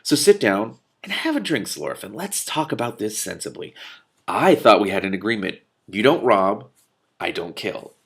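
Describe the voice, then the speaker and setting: A calm thief master who is quietly in control, read by a man. His storybook villain's voice is low and gravelly, level and pleasant, with menace underneath. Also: moderate pace, heavily acted. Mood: level, controlled.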